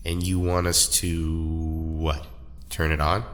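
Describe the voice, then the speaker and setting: An actor playing a proud young superhero rival in an audio drama, cocky and confrontational. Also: male voice, a bass voice, level tone, takes it slowly, a bit bright, long reverb tail, full character voice. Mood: skeptical.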